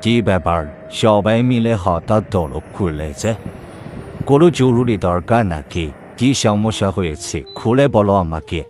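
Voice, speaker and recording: Casting: actor for cartoon village headman, slightly low, authoritative, deliberate, reassuring. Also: lively intonation, a little mellow, a little hiss or hum. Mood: concerned. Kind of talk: cartoon voice acting.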